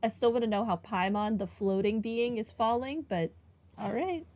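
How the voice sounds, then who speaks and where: A woman's voice: a little high, moderate pace, a little mellow. An American woman giving casual commentary while playing a game, chatty and full of wry asides. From United States. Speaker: gamer narrating a playthrough. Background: game music.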